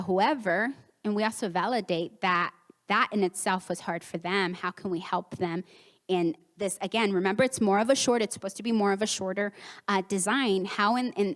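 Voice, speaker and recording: Woman therapist, first language Spanish, conversational conference talk, middle pitch, long hall reverb. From United States, Mexican home. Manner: warm conversational with acted-out quotes and laughs. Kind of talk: conference presentation.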